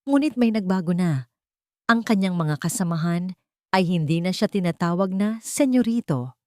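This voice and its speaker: Expressive Filipino woman narrator, low-pitched. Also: normal speed, no echo, clean studio sound. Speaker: story narrator. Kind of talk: scripted dramatic narration of a romance story.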